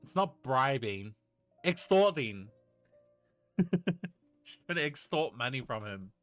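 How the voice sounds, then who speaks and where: A man's voice: mid-range voice, very animated, slow, a bit bright, no echo. A man streaming a game with casual, joking reaction commentary and a giggly manner. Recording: faint game music behind the voice.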